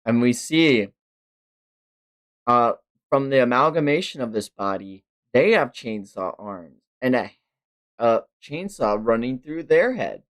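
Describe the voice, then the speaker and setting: A man's voice: mid-range voice, big pitch swings, a little slow. A man streaming a casual manga read-along, narrating with amused, sweary commentary. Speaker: streamer reading manga aloud.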